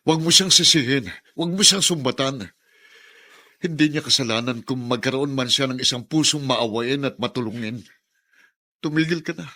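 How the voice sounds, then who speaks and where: A man's voice: mid-range voice, normal speed, pushed and bright. An actor playing a hurt, self-sacrificing father in a Filipino radio drama, pleading and resigned. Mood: protective.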